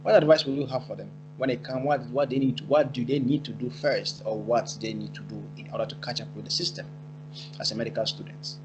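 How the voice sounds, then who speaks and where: A man's voice: medium pitch, steady tone, fast, rough recording. A man hosting an interview, encouraging his guest and speaking in loose, rambling phrases. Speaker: interviewer and channel host. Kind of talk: casual interview. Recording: music playing behind.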